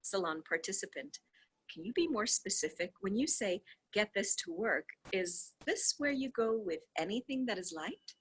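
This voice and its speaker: A woman with a husky, breathy voice at a middle pitch reads a book aloud as a host. Her delivery is effusive and casual, with dramatic emphasis and drawn-out words. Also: expressive, low-quality recording. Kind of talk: read-along with casual asides.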